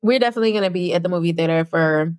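A woman's voice: lowish voice, very fast, a little mellow, clear, resonant tone, dry recording, clean studio sound. Chatty laughing woman podcast host. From New Jersey. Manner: chatty, laughs often, slangy. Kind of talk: casual podcast chat.

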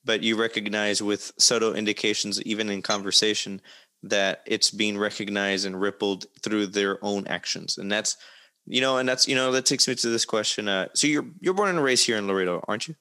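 A man's voice: on the low side, steady tone, a little fast, bright, forceful tone. A man hosting a conversational interview, asking wordy, reflective questions in a roundabout way. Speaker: interview host. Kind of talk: conversational long-form interview.

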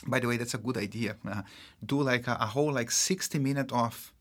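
Man, Brazilian accent, interview guest, halting, many fillers. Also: medium pitch. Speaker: marketing executive, guest. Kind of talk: casual interview talk.

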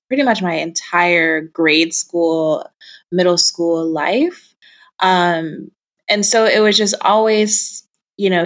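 Lower-pitched young woman, candid and reflective. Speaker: interview guest. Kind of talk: casual personal storytelling.

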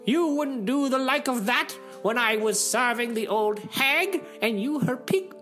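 A man's voice: very high voice, expressive, measured pace, fairly bright tone, soft background music, pitched above the performer's own voice, exaggerated voice. A man voicing an indignant, reproachful enchanted hen from a fairy tale, clucking and scolding.

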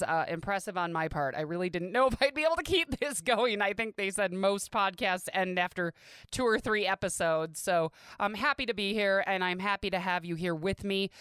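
Podcast host: middle-aged American woman, clean slightly low voice, candid conversational delivery, dry closet recording. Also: fairly bright tone, smooth, dry recording. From American Midwest. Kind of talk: casual personal podcast monologue. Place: inside a home closet.

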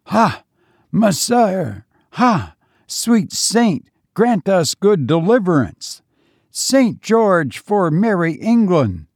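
A man's voice: high-pitched, very animated, drawn-out words, darkish tone, no echo, clean studio sound, pitched above the performer's own voice, heavily acted. A man voicing a host of ghostly medieval bowmen who call out fervent battle cries to their saint.

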